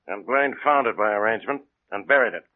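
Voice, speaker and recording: A man's voice: on the low side, fast talker, close to an everyday voice. An actor playing a dry, sarcastic male police inspector in 1940s radio-drama style. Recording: narrow phone-like old radio broadcast.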